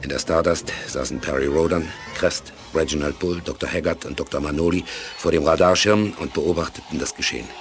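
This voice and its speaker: A man narrating a scripted 1980s German radio play in a solemn, deliberate dramatic style. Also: rumbling low, faint music behind.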